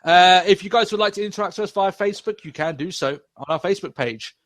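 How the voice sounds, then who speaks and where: A man's voice: high voice, fast talker, fairly bright tone, dry recording. A young man hosting a podcast, reviewing wrestling in casual, profane chat with frequent laughs. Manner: profane, laughs often, rambling. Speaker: podcast host.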